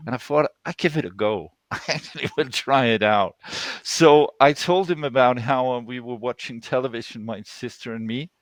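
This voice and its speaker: A man's voice: mid-range voice, moderate pace, no room sound. Older Danish-accented man telling stories, dry sound. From Denmark. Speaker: guest storyteller. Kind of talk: conversational personal storytelling. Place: online video call.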